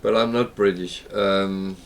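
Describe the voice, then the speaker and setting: Low-voiced Danish man streaming a game. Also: fairly even intonation, a little slow, gravelly. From Denmark. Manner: chatty, rambling, hesitant with fillers. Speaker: livestreamer. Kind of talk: casual gameplay livestream chat.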